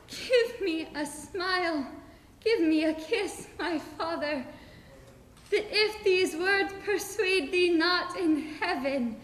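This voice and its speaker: A woman's voice: high-pitched, voice pushed up. A young woman performing a Greek princess who begs her father for her life in a declamatory stage monologue. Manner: declamatory, pleading. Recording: echoey reverberant hall.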